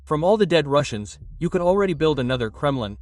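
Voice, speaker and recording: A man's voice: on the high side, big pitch swings, fast talker, darkish tone, recorded from a distance. A man reading scripted political commentary in a sardonic, mocking manner. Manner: sarcastic, mocking. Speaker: narrator of a satirical war commentary video.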